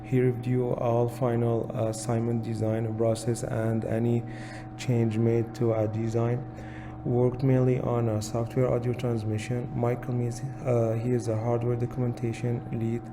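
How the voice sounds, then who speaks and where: A young male student reads from slides at a medium pitch. His delivery is extremely flat, and a clear hum sits behind it. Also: a little slow. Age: young adult. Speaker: student project presenter. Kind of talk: scripted slide presentation.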